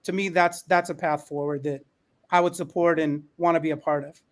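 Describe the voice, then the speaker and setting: Guy w a pretty high voice, panel talk, emphatic, stacks up points in short repeated phrases. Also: steady tone, fairly quick. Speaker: educator, panelist. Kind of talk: panel discussion, opinion talk.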